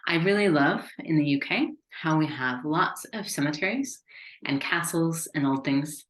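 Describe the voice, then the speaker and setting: A woman's voice: low-pitched, lively intonation, moderate pace. An American woman giving an upbeat, conversational business webinar. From United States. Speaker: business consultant. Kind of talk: webinar presentation. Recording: echoey room.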